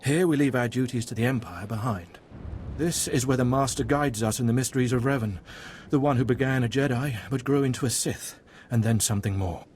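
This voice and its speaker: A man playing a devout, guarded cult mentor in a video game, speaking in a formal, reverent, measured way. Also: medium pitch, a little mellow, a little hiss or hum, lightly acted. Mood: solemn, reverent. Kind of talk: scripted video game voice acting.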